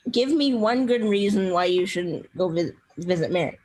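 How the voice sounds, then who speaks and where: A woman playing a gently meddling middle-aged mother in a radio play, coaxing her grown son in a husky voice. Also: fairly low pitch, animated, moderate pace, poor audio. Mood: insistent. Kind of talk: old-fashioned radio play acting.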